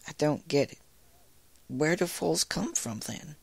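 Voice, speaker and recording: A man's voice: a little high, animated, a little slow, gravelly, a little mellow, husky, no room sound, pitched above the performer's own voice, full character voice. A man voicing curious, blunt young girl ponies in a fan fiction reading, eager and plain-spoken. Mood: puzzled, insistent. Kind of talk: fan fiction read aloud in character.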